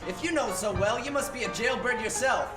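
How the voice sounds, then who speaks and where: A man's voice: extremely high pitch, a little fast, roomy sound, over music. A man playing a brusque, threatening, slangy tough guy in a 1940s-style radio play. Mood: angry, contemptuous. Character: gruff, hostile tough-guy bartender or cop.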